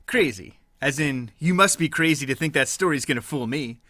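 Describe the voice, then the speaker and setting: A man giving dry, deadpan hard-boiled detective narration in a comic noir parody. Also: on the high side, normal speed, dry recording.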